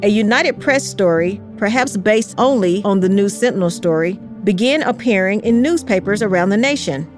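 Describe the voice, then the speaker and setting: A woman reading scripted history narration in a measured, carefully articulated storytelling way. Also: medium pitch, animated, soft background music. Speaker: podcast narrator.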